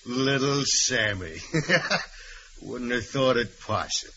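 A man's voice: medium pitch, pushed and bright, a little hiss or hum, heavily acted. A sly, mocking convict who takes his time over every word. He sounds calculating and sardonic. The old radio recording is dull. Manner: slow, mocking, drawn-out delivery.

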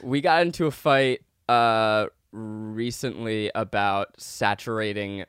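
A young man chatting casually as a podcast guest, joking and animated, breaking into laughter. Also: fairly low pitch, slow, clear, resonant tone, no echo, clean studio sound. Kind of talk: casual chat.

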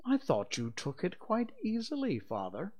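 A man's voice: high voice, wildly swooping pitch, normal speed, darkish tone, pitched above the performer's own voice, heavily acted. A man voicing an eager, helpful, earnest young daughter in a storybook reading. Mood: puzzled. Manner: earnest, encouraging.

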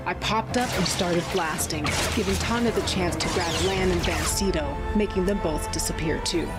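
A woman's voice: on the low side, close to an everyday voice. A woman voicing a tough, sardonic fighter with a cybernetic eye who narrates in the first person, blunt and profane with dry humor.

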